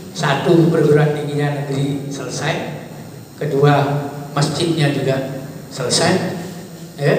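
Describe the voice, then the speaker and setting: A man in his sixties, head of a pesantren, giving rambling, fatherly advice in a conversational way to students and alumni. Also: a little high. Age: 60s. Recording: echoey hall, distant mic, faint noise.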